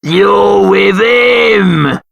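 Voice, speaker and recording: Gravelly, belligerent male ruffian. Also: high voice, extremely slow, no room sound, full character voice. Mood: angry, accusing. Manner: aggressive, shouting. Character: belligerent pub ruffian spoiling for a brawl. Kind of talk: video game character acting.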